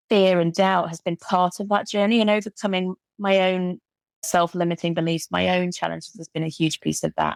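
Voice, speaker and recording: A British woman with a lowish, gravelly voice talks with lively enthusiasm and often doubles her words for emphasis. The sound is dry and close. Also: no room sound. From Britain. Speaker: guest, burnout recovery coach. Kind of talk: casual interview chat.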